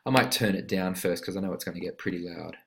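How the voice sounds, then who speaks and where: A man giving a casual, step-by-step music software tutorial in an explanatory way. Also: deep voice, fast, gravelly, very noisy. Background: musical instrument notes from demonstrations.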